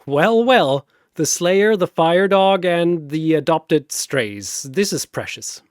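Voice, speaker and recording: A man's voice: a little high, lively intonation, slow, no room sound, studio quality, voice pushed up. A man voicing an amused, smug, theatrical arena-master entity with a mocking, showy delivery in a fantasy battle story.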